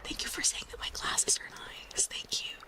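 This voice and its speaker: A woman hosting an ASMR livestream, whispering breathily and close to the mic in a playful, rambling, apologetic way. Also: normal speed. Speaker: livestream host.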